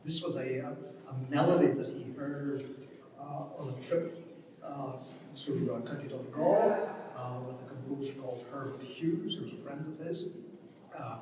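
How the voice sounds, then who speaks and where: An Irish man gives an informal literary talk, hesitating and doubling back on his words as he thinks. He is heard from far off in a hugely reverberant hall, with clear noise in the recording. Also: mid-range voice, dark tone. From Ireland. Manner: halting and hesitant, often repeating words as he searches for phrasing. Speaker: academic speaker commenting on a play. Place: large echoing hall at a public event. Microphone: distant microphone. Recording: very echoey hall from far off, noisy.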